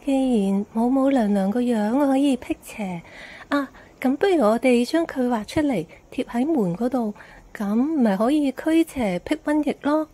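A woman's voice: fairly high pitch, lively intonation, a little slow, warm tone. A woman voicing a chatty, relieved townsperson sharing news in an amateur radio drama. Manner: chatty, gossipy.

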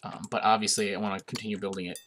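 A man's voice: fairly low pitch, flat delivery, racing, fairly bright tone. A young man narrates his strategy game in a casual, rambling way, stopping often on "uh" and "um." He is getting over a cold and sounds a little sniffly. A faint hiss and the click of a mouse sit under his voice. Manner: chatty, hesitant with many uhs, amused. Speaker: gaming video host. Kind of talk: casual let's-play commentary.

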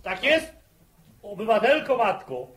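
An actor playing a playful young farm son back from the army, teasing and mock-formal, in an old 1960s radio drama. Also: male voice, high-pitched, very animated, measured pace, background noise.

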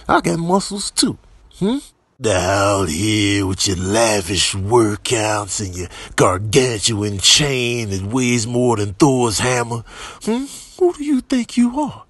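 A male comedian doing mock commentary over clips in a theatrical, mock-envious way with drawn-out words. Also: mid-range voice, slightly rough, no echo. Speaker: comedian doing voiceover reactions.

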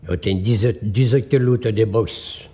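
A man in his seventies with a French accent, speaking Portuguese in a halting, plain-spoken way. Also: fairly low pitch, mellow, slightly rough. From France. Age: 70s. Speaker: interviewee, former bodybuilder.

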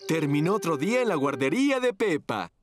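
A man's voice: on the high side, sing-song, brisk, faint music behind. A man playing the friendly storybook narrator of a preschool cartoon, reading scripted narration for children. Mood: matter-of-fact.

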